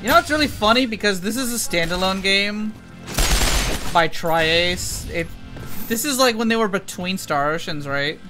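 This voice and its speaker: Excitable game streamer over game music. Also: mid-range voice, moderate pace. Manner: excitable, slangy, profane. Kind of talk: casual live gameplay commentary. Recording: loud game music behind. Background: video game music.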